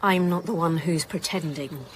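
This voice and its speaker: An actress playing a brisk, sceptical detective inspector in a radio drama, British accent, probing and dryly insistent. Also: female voice, low voice, slight background noise, lightly acted. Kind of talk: naturalistic radio drama acting.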